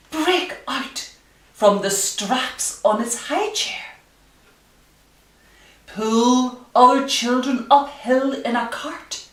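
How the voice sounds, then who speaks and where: Distant roomy recording, woman, children's storytelling, unhurried, very expressive intonation, dramatic emphasis. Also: a little high. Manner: dramatic emphasis, drawn-out key phrases. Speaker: storyteller reading a picture book to children. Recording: roomy echo, distant microphone.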